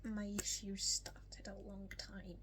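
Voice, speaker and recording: A nineteen-year-old woman with a strong Yorkshire accent, chatting casually to camera in a get-to-know-me vlog, often soft and whispery with lots of ums, hiccuping now and then. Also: medium pitch, monotone, slow. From West Yorkshire, England. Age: young adult. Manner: soft, frequently whispery delivery with many ums. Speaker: vlogger.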